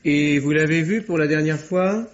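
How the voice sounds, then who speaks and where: A man's voice: on the high side, normal speed, close to an everyday voice. A man playing a seasoned, wry police inspector in a French radio mystery play, brusque and ironic as he questions people. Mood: probing, wry. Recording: dull lo-fi radio recording.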